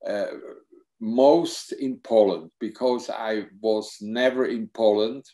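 A man in his sixties with a German accent, speaking haltingly on a video call and searching for English words. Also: lowish voice, gravelly, dark tone. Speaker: psychotherapist and film subject. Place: home room with bookshelves. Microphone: laptop webcam mic on video call.

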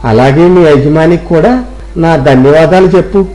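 A man's voice: a little high, moderate pace, mellow, natural voice, low-quality recording. A man voicing a blunt village customer in a moral story. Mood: grateful. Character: demanding male village customer, blunt but grateful.

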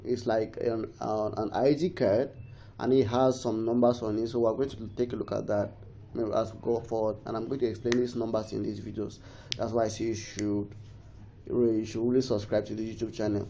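A man's voice: mid-range voice, mellow. A man with a cold giving a casual, rambling phone tutorial, often restarting his sentences and urging viewers along. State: has a cold. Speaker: tech tutorial host. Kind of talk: casual screen-recorded tutorial.

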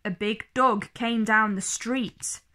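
A woman reading a children's story aloud in an expressive way, phrasing each line clearly and deliberately for young listeners. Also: fairly high pitch. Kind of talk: slow, expressive picture-book read-aloud.

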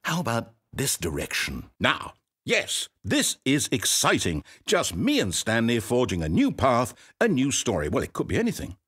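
A man's voice: mid-range voice, wildly swooping pitch, normal speed, fairly bright tone, breathy edge, exaggerated voice. An actor playing a chatty, fussy, self-doubting male narrator who rambles with theatrical flair. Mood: eager, plotting. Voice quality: rambling, theatrical, wildly swinging delivery. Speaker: game narrator. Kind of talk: video game voice acting.

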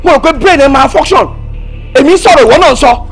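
A high, quick, restless voice swings wildly in pitch as it goes. It is a controlling young man with a Nigerian accent, loud and domineering. The delivery is scornful and pushy. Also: lively intonation, creaky, soft background music, heavily acted. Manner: shouting, insulting, domineering. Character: jealous, controlling young man who bullies.

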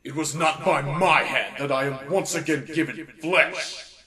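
A grandiose vampire lord played by a man speaks with pompous contempt. The voice is fairly high, drawn out, and rings in a distant, echoey hall. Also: measured pace, a crowd in the background, natural voice. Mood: haughty. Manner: pompous, theatrical.

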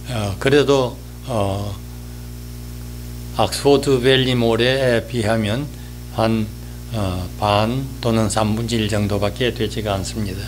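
Slow, halting elderly man. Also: medium pitch. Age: 80s. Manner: halting, hesitant, with frequent drawn-out fillers. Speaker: newspaper-style columnist giving a talk. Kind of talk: reminiscing monologue column. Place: indoors, small office or studio, seated.

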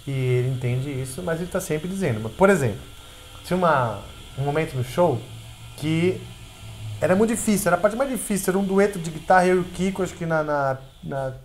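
A middle-aged Brazilian man talking as a guest on a couch, an animated storyteller who stumbles and repeats words. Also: fairly high pitch, fairly quick, slight background noise. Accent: Brazilian Portuguese. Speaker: guest musician. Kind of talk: casual interview conversation. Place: indoor living room set on a couch.

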